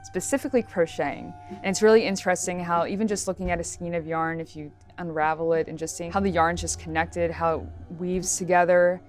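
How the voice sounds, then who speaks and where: A woman's voice: fairly low pitch, steady tone, brisk, few pauses. A woman speaking earnestly about a craft passion in an interview. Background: faint music.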